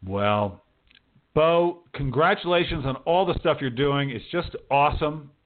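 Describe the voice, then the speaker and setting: A man's voice: on the high side, measured pace. Male host on a thin, phone-like line. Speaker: talk show host, writing teacher. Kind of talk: casual interview chat. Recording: thin phone-like radio call audio.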